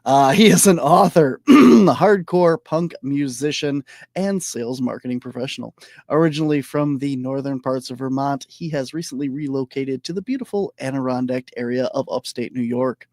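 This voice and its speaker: Hoarse podcast host, dry mic. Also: male voice, medium pitch, no echo. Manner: energetic, halting with fillers. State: voice going hoarse. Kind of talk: casual interview.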